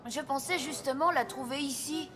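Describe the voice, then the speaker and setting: Casting: woman as earnest boy apprentice for kids' cartoon, high voice, eager, inquisitive. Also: moderate pace, over music, lightly acted. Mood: curious. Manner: eager, questioning. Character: earnest, curious young boy, Santa's apprentice. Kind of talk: French children's cartoon dubbing.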